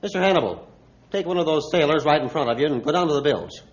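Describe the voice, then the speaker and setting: An actor playing a stern, steadfast ship's captain with an authoritative, declamatory way of talking in a 1950s radio drama. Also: male voice, fairly high pitch, lively intonation, fast talker, natural voice. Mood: firm. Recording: dull 1950s radio recording.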